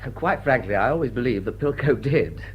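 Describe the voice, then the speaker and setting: A man's voice: medium pitch, lively intonation, brisk, lo-fi sound, a little hiss or hum, lightly acted. An actor playing a witty, suave and teasing male English lord in a vintage drawing-room drama. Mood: playful. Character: dashing, witty, roguish young English lord.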